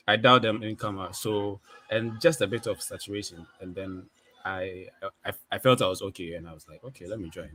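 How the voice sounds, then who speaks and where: A man with a deep voice chatting casually on a live video call, relaxed and halting, with many restarts. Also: slight background noise. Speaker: photographer and video creator. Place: home studio with ring light. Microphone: USB desk microphone on video call.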